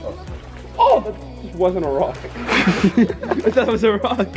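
A young man banters with friends in a high, animated voice. He jokes and swears in casual slang. Very loud music covers the handheld camera sound. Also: takes it slowly. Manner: profane, joking. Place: tunnel and rocky woods outdoors.

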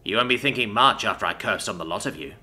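A man's voice: fairly low pitch, expressive, quick pace, bright, forceful tone, faint music behind. A man voicing a cocky, sarcastic young human man in an audiobook, casual and condescending. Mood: defiant.

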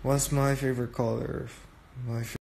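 A young man hosting a casual phone livestream from a dim room at night, reading viewer comments aloud and chatting back in a relaxed way. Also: mid-range voice, moderate pace, creaky. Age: young adult. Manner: relaxed, chatty, switching between English and Korean. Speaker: livestream host. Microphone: phone held close at arm's length.

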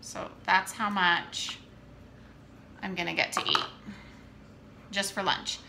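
A woman in her thirties casually showing her meal prep at home, talking in an unhurried way with many fillers. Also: mid-range voice, steady tone, creaky. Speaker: fitness coach vlogger. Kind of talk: casual how-to vlog. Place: home kitchen, indoors. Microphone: camera on the counter. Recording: echoey kitchen with room noise.

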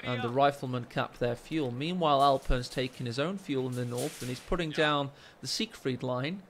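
A man with a deep voice casting a strategy game live, excitable and joking, speaking into a desk microphone in a small room at home. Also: normal speed, a little hiss or hum. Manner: excitable, jokey, rising with the action. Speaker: game caster. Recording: game sound effects under the voice. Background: game gunfire and ambience.

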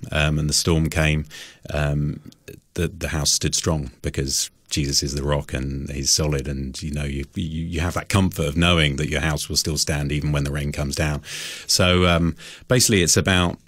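A man's voice: extremely low pitch, level tone, moderate pace, a bit bright, no room sound, studio quality. A middle-aged man being interviewed as a guest, chatting casually and rephrasing his thoughts as he goes. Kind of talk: casual radio interview chat.

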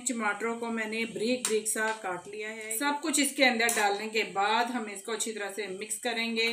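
A middle-aged woman explains a recipe in a friendly, chatty way, speaking straight to her viewers. Her voice sits a little high. The sound is roomy and echoey, with a faint hum of noise behind it. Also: fairly bright tone. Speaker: cooking channel host. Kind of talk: step-by-step recipe demonstration. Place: home kitchen, indoors.

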